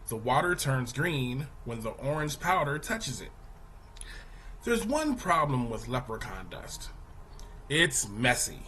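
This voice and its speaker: A man reading a children's news article aloud as a teacher, in a deliberate, explanatory manner. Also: mid-range voice, sharp tone, a little hiss or hum. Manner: deliberate, explanatory, poses questions to young listeners.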